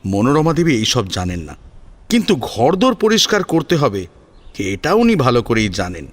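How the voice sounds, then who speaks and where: A male narrator reads a dramatic scripted story. His delivery is expressive and emphatic, with a mid-range voice that rises and falls in a lively way. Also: medium pitch, moderate pace. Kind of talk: dramatic scripted audio-story narration in Bengali serial fashion.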